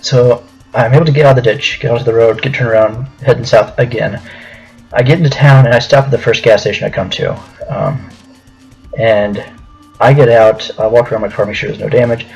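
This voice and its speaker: A man's voice: medium pitch, fairly even intonation, brisk, creaky, darkish tone, breathy edge, dull audio, faint music behind. A man telling a personal story to viewers in a casual, hesitant, conversational way, as a video storyteller.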